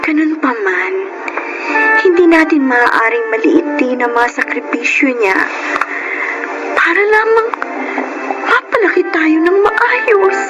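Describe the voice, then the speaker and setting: A woman with a Filipino accent acting a gentle, earnest younger sister who pleads in a radio drama. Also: high-pitched, big pitch swings, slow pace, long pauses, exaggerated voice, rough recording. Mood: pleading. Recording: loud music under studio drama voice.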